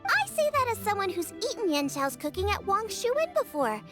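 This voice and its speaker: Very high-pitched, bubbly girl chef. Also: very animated, moderate pace. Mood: earnest. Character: cheerful, encouraging, earnest young girl chef. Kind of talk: English video game voice acting. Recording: music behind the voice.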